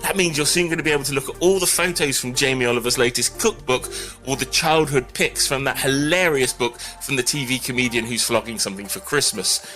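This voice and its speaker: A man's voice: mid-range voice, a little fast, pushed and bright. A man giving conversational technology news commentary in a wry, opinionated way. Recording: music bed under the voice. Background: background music.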